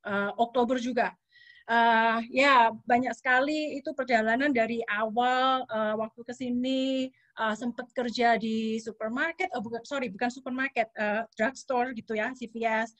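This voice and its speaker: Woman, Javanese accent, chatty webinar guest, bright fairly high voice, dry sound. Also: steady tone, normal speed, dry recording, muffled recording. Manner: chatty, mixes in English words, many fillers. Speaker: guest speaker. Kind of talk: casual webinar talk.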